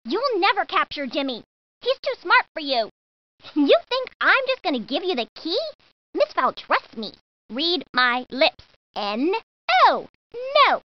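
A woman's voice: sits high, very animated, slow, no echo, full character voice. A woman voicing a bossy, proud, prickly schoolgirl in a game, talking in a haughty, snippy, defiant way. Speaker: voice actress. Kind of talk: video game voice clips. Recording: dull lo-fi game audio.

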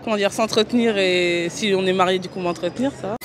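A woman's voice: medium pitch, steady tone, fast. A woman answering a street interview outdoors, easygoing and thoughtful. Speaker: passerby interviewee. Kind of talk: casual street chat. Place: outdoor city square. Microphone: host's handheld mic.

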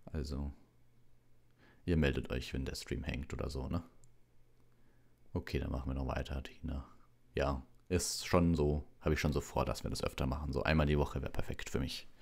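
Male livestream story reader, extremely low voice, relaxed and casual. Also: moderate pace, creaky, pauses often. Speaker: audiobook reader, livestream host.

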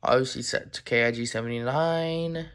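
Casual, halting speaker with background noise. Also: medium pitch. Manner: informal, halting, off-the-cuff. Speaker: collector presenting weather radios. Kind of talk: casual show-and-tell vlog. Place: indoors in a home room. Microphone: handheld phone camera. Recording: noisy handheld recording with clicks.

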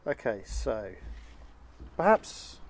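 A man's voice: mid-range voice, big pitch swings, very slow, a little hiss or hum. A middle-aged man interviewing his partner outdoors, asking questions with friendly teasing asides. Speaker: interviewer, channel co-host. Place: outdoors in a leafy garden.

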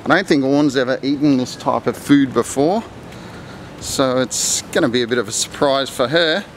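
A man's voice: mid-range voice, moderate pace, rough recording. A man in his forties giving casual travel vlog commentary in short descriptive remarks, recorded on a handheld camera. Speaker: food vlogger. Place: busy street and open-front eatery in Thailand. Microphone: handheld camera at arm's length. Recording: loud street and kitchen noise.

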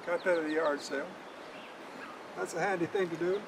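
Older guy showing how stuff's done, high voice, folksy n kinda halting, outside with clear background noise. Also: fairly even intonation. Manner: folksy, halting, repeats words. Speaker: fishing guide. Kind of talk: casual how-to demonstration. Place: outdoors at a roadside in the country, by a car.

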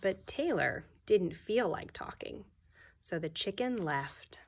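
A woman in her thirties, a teacher giving an expressive, deliberate read-aloud of a children's picture book. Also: fairly low pitch, gravelly, slight background noise.

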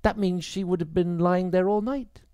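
Man voicing an earnest, higher-pitched young policewoman. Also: steady tone, normal speed, warm tone, clean tone, dry recording, clean studio sound, close to an everyday voice. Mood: questioning. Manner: earnest, inquiring.

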